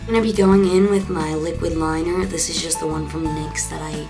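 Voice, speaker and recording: A young woman in her late teens talks through a makeup tutorial in a low, gravelly voice that is raspy and congested from a cold. She chats casually with plenty of fillers. Clear music plays behind her. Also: fairly even intonation, a little fast. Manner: chatty, with many fillers. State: hoarse and congested. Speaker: beauty vlogger.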